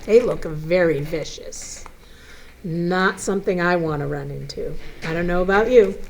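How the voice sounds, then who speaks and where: Unhurried woman reading to young children. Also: fairly low pitch, vocal fry, a little hiss or hum. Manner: expressive, addressing children with questions and simple explanations. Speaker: school superintendent reading a picture book to children. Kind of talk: children's read-aloud with chatty asides to young listeners.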